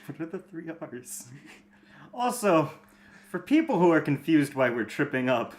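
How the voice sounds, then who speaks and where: A woman's voice: low voice, very animated, measured pace, darkish tone. A woman in casual, joking chat as a reader commenting on a script. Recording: roomy, echoey home recording.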